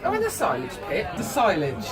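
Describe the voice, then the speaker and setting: A middle-aged woman telling family stories casually, animated and acting out what people said. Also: mid-range voice, a little fast, over loud music, over a noisy crowd. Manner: animated, acts out dialogue.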